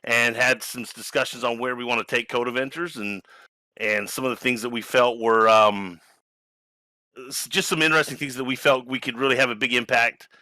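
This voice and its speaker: A man's voice: mid-range voice, steady tone, moderate pace, bright, forceful tone, no echo. A man from New Zealand with an American accent, a business strategist chatting enthusiastically on a video call panel. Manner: enthusiastic, rambling. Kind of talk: casual panel chat.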